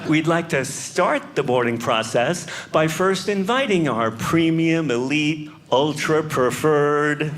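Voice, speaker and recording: A comedian putting on a haughty airline gate agent who stretches every word in a slow, condescending drone. The voice sits somewhat high. The recording is noisy and live. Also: male voice, animated, fairly bright tone.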